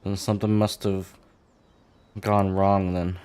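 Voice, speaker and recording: A man's voice: deep voice, little pitch movement, slow pace, a little mellow, smooth. A man giving casual, off-hand, slightly hesitant commentary as he plays through a game. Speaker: game streamer. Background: game music.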